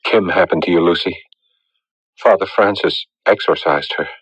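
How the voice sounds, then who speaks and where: An actor playing an earnest, conflicted fiancé in a radio drama, speaking gravely and haltingly. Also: male voice, low voice, a bit bright, no echo. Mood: grave, insistent. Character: earnest, conflicted fiancé named Stan, gentle and solemn. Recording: dull lo-fi old radio recording.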